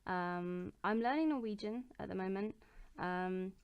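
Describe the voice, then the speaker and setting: A young woman in her bedroom, answering viewer questions in a relaxed, chatty way, with plenty of ums and restarts. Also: mid-range voice, a little slow, clean tone. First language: English. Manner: chatty and relaxed, with many ums and self-corrections. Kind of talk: casual chat.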